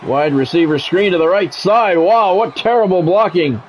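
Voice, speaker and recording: Male game streamer, lightly higher voice, polished clipped play-by-play with casual asides, music in the background. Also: moderate pace, a little hiss or hum. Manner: practised radio-style play-by-play, clipped phrases. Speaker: streamer doing play-by-play of a football video game. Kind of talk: sports commentary mixed with casual chat.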